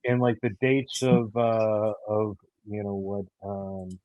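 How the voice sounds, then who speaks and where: Through a dry, phone-like connection comes a man with a fairly low voice. He answers interview questions casually. His phrasing is halting and thoughtful, as a writer explaining his work. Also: steady tone, no echo. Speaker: show writer being interviewed. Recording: muffled narrow phone-like line.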